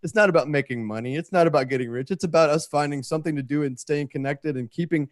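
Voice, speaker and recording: A man's voice: fairly high pitch, no echo, studio quality. Earnest, sweary man talking casually. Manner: sweary, earnest, halting with repeated phrases. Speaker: writer and filmmaker. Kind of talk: casual heartfelt talk to a group.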